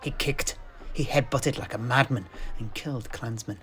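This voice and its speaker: A man narrating a fantasy battle tale in character, theatrically and with deliberate, dramatic pauses. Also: medium pitch, fairly bright tone, a little hiss or hum. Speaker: storyteller guest in an in-character fantasy chat.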